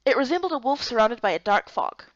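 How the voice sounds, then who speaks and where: A woman giving expressive, dramatic first-person narration for a visual novel story. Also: fairly high pitch, fast talker, dry recording, muffled recording. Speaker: narrator and voice actor.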